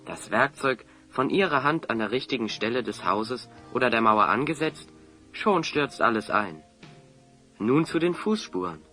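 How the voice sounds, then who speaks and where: A man's voice: mid-range voice, expressive, normal speed, bright, forceful tone, soft background music. A man acting a clever, confident hero in an audio drama, articulate and assertive as he reasons things out. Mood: confident, accusing. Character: clever, confident male hero explaining a scheme.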